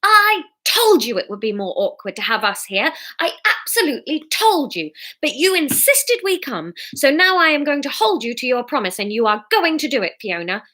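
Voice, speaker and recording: A woman's voice: high-pitched, very animated, fast talker, hardly any pauses, bright, forceful tone, breathy edge, pitched above the performer's own voice, heavily acted. A woman reading the part of a bossy, easily exasperated friend in a story, speaking emphatically. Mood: exasperated, insistent. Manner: emphatic, insistent.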